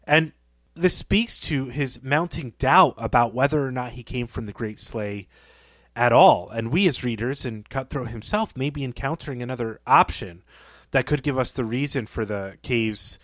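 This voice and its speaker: A man co-hosts a book podcast in a mid-range voice. He lays out literary analysis in thoughtful, measured phrases. The recording is dry, with hardly any echo. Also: medium pitch, fairly bright tone. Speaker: podcast co-host.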